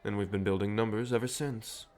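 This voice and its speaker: An actor playing a cheerful, self-assured, century-old vampire rebel leader in an audio drama, courteous and persuasive with a theatrical manner. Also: male voice, lowish voice, fairly even intonation, a little fast, a little mellow, natural voice. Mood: earnest, proud. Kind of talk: scripted audio drama acting.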